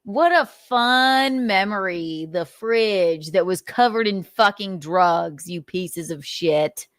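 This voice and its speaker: A woman's voice: medium pitch, takes it slowly, a bit bright, no room sound. A woman host reading notes aloud and adding blunt, sarcastic commentary, swearing freely. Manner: sarcastic, mocking.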